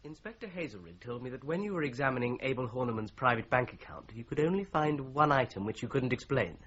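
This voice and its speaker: Precise English actor as a shrewd investigating solicitor. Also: male voice, mid-range voice, lively intonation, fairly bright tone, slight background noise, lightly acted. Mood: polite, tentative. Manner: precise, measured, probing. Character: shrewd, methodical male solicitor turned amateur investigator. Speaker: actor in a radio drama. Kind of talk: 1980s radio drama acting. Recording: slightly dull 1980s radio recording.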